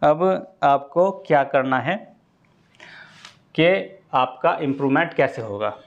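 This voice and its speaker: A man's voice: on the high side, lively intonation, slow, gravelly. Male coaching teacher, dull lo-fi recording. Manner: explanatory, repetitive, often checks with "okay". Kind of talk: informal exam-prep lesson to students. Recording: dull lo-fi sound.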